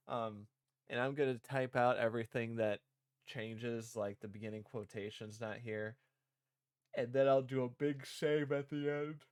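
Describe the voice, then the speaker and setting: A man casually streaming as he works on a game script, reading lines aloud and rewording them in a halting way. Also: medium pitch, level tone, clean tone, no echo, studio quality. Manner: halting, thinking out loud, repeating and rewording lines. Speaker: streamer developing own visual novel.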